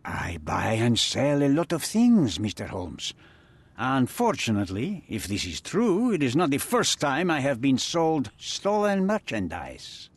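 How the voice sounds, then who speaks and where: A man's voice: mid-range voice, huge pitch swings, unhurried, breathy edge, dry recording, exaggerated voice. A man voicing a cold, threatening crime boss and smuggler in video game cutscene dialogue. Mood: impatient. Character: menacing male crime boss, smuggler and cutthroat.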